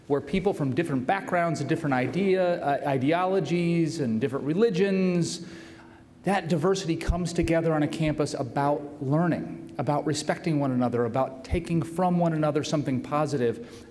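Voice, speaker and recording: A man's voice: a little high, a little mellow. A man who is a university president, giving an earnest, conversational welcome speech and introducing the speaker. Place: large hall. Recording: roomy hall reverb, faint noise.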